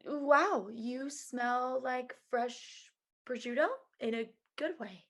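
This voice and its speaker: Lady doing a wry blunt assistant in a comedy script, high voice, unhurried, teasing. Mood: sardonic. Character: blunt, sardonic, flirty female assistant in comedy. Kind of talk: comedy screenplay table read. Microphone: video call.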